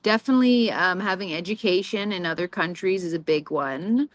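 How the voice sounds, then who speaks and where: A woman's voice: on the low side, fairly quick, no room sound. A woman interviewing a guest in a bubbly, chatty way, laughing often. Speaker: magazine interviewer. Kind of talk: casual interview chat.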